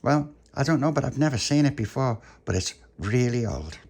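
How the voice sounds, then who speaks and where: A man's voice: medium pitch, lively intonation, normal speed, creaky, darkish tone, breathy edge, pitched above the performer's own voice. A man voicing a chatty, earnest little schoolgirl in a children's story, rambling through her explanations. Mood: earnest, excited.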